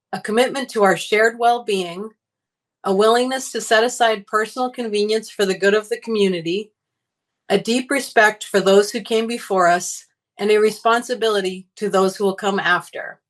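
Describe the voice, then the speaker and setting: Woman giving an earnest prepared speech. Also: medium pitch. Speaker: union candidate, teachers' organizer.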